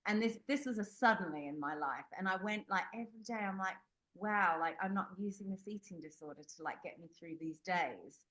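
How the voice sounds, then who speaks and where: A woman's voice: mid-range voice, fairly quick, fairly bright tone, poor audio. A young adult woman from the United Kingdom, a guest telling a personal story in an interview, candid and rambling with many fillers and restarts. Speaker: podcast guest.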